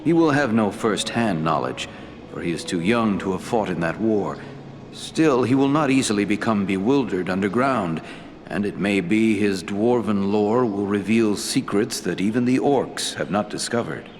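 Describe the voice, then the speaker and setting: An actor playing a wise, ancient elf lord in a fantasy game, speaking in a measured, formal way. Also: male voice, lowish voice, expressive, a little hiss or hum, natural voice. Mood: grave counsel. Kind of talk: scripted fantasy game dialogue.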